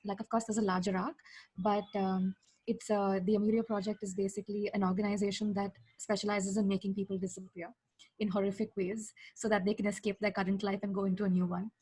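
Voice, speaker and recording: Female podcast guest, informal and effusive, slightly rough-edged husky tone, very dry recording. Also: medium pitch, flat delivery, quick pace, mellow, dry recording, rough recording. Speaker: guest, fiction podcast creator. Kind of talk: casual enthusiastic recommendation chat.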